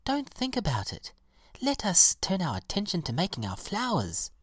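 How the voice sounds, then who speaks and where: A woman voicing a gentle, patient, cheerful little violet flower in a children's story, soothing and optimistic. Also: lowish voice, wildly swooping pitch, normal speed, slightly rough, dry recording, pitched above the performer's own voice, heavily acted. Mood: reassuring.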